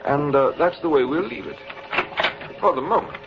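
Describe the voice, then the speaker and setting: A man playing a coolly taunting middle-aged kidnapper in a 1940s radio drama, with smug, formal, deliberate delivery. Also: fairly high pitch, lively intonation, over music. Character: tall sinister fifty-year-old kidnapper, coolly taunting experimenter. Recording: muffled vintage 1940s radio recording.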